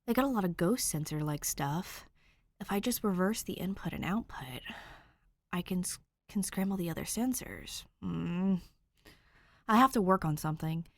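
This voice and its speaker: A woman voicing an excitable, protective teenage boy in a storybook reading, talking in shouty bursts. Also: lowish voice, normal speed, vocal fry. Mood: earnest. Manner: outraged, shouty.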